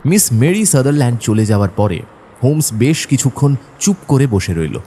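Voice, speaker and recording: An actor playing a thoughtful, observant detective's companion, telling the story in a measured, descriptive way for an audio drama. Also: male voice, medium pitch, very animated, warm tone, slight background noise, natural voice. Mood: reflective. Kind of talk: scripted narration and audio drama acting.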